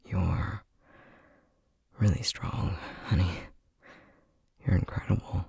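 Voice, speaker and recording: A man playing a flustered, tender young boyfriend in an ASMR roleplay, soft-spoken and breathy, hesitating and stammering as he talks. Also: low voice, fairly even intonation, slow, no echo, studio quality, exaggerated voice. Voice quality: breathy, husky. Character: flustered, tender young boyfriend newly discovering magic powers.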